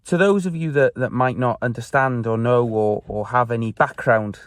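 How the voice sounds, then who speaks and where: A man's voice: mid-range voice, moderate pace, no echo, clean studio sound. A man in his twenties with a Welsh accent, a native Welsh speaker, earnestly sharing a personal reflection on faith from his parked car. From Wales. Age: 20s. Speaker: church youth member sharing faith. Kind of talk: personal faith talk to camera.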